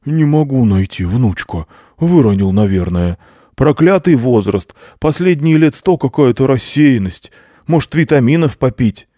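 A man's voice: medium pitch, expressive, unhurried, warm tone, no echo, studio quality, pitched above the performer's own voice. A man voicing a forgetful old Father Frost in a storybook, fretting over a lost gift. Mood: flustered.

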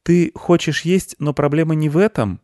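A man voicing a kind, patient, curious young boy in a storybook, asking things gently. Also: on the high side, moderate pace, no room sound, clean studio sound, pitched above the performer's own voice, lightly acted. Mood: gently questioning. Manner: gentle, questioning.

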